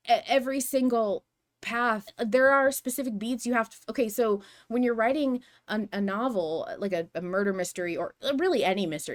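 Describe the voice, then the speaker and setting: A woman hosting a casual fan discussion podcast, talking in an animated, rambling, emphatic way. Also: a little high. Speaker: podcast host.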